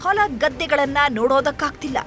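A woman's voice: sits high, quick pace, fairly bright tone. A woman narrating scripted news in an emphatic, dramatic way. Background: music bed.

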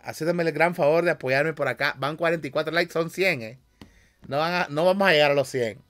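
A man's voice: fairly high pitch, brisk, a bit bright. A young man with a Honduran accent, chatting casually on a livestream from a small bedroom, reading comments with jokes, slang and frequent laughs. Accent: Honduran Spanish. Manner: joking, slangy, laughs often. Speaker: streamer. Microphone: headset with desk mic.

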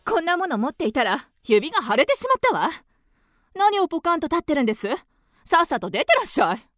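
A woman playing a proud, fiery and fickle widow in a theatrical radio drama, sharp-tongued yet formal. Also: sits high, big pitch swings, fast, no room sound. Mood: flustered anger. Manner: haughty, sharp-tongued, formal feminine speech.

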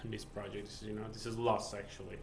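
A man's voice: lowish voice, little pitch movement, fast, gravelly, a little hiss or hum. Hesitant man with a Persian accent. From Iran. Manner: hesitant, many fillers and restarts. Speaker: game developer vlogger. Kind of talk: casual screen-share walkthrough. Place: home office, indoors.

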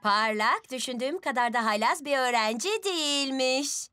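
A woman's voice: sits high, moderate pace, close to an everyday voice. A woman voicing a patient, encouraging female teacher car in a dubbed children's cartoon. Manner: warm, guiding, encouraging. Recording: music bed under voice.

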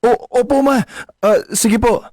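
A man's voice: extremely high pitch, moderate pace, darkish tone. A man acting a caring, respectful young adult son in a radio drama. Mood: worried.

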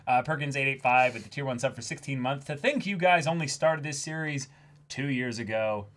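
A young man talking casually as co-host of a livestream, in a rambling, jokey, animated way. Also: mid-range voice, fairly quick. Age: young adult. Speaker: co-host of a manga reading stream. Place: indoor room, seated before a backdrop.

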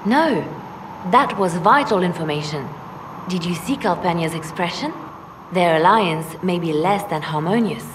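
An actress playing a hooded female spymaster in a fantasy video game, speaking in a measured, persuasive way. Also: fairly low pitch, lively intonation, big-room sound, noisy background. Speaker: advisor.

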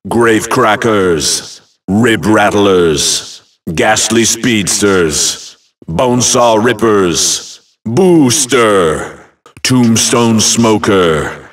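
An actor playing a theatrical video game battle announcer, calling out short phrases with deliberate emphasis. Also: male voice, low-pitched, fairly bright tone, recorded from a distance, full character voice. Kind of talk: announcing short names and match calls.

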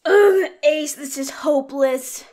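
A woman playing an excitable, brisk teenage gang girl in a comic dub. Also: sits high. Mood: worried.

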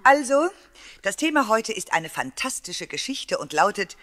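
An actress playing a good-humoured, unflappable schoolteacher in a children's radio play, with a wry, indulgent manner. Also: female voice, medium pitch, big pitch swings, moderate pace, fairly bright tone, no echo, clean studio sound. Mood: brisk, commanding.

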